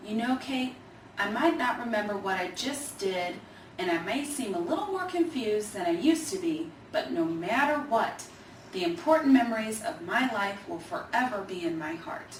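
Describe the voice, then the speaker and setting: A woman voicing a gentle, affectionate, forgetful old grandfather in a children's storybook reading. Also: mid-range voice, animated, normal speed, natural voice. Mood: tender. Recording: roomy, distant mic, faint noise.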